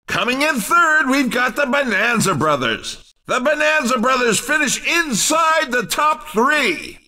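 A man voicing an excitable, showy race commentator in a video game, with theatrical, emphatic exclamations. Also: very high-pitched, recorded from a distance, heavily acted. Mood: upbeat. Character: excitable male arcade racing game announcer, showy and quick to tease.

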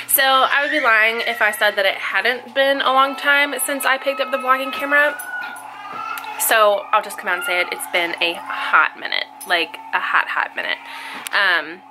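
Woman, twenties, nasal cold voice, chatty vlog talk, handheld camera at home, noisy room. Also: medium pitch. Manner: chatty, filler-heavy, playful baby talk. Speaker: family vlogger, twin mom. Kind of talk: casual vlog chat to camera. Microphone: handheld camera close to face.

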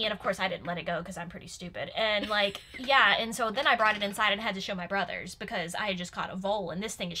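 A woman's voice: medium pitch, pushed and bright. A young woman giving casual, giggly commentary and telling anecdotes in an enthusiastic, chatty way. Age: young adult. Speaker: guest fan commentator. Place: small furnished room indoors.

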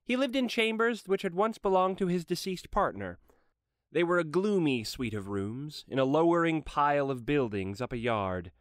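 A man's voice: mid-range voice, dry recording, studio quality. A man giving a dramatic scripted narration of a classic story.

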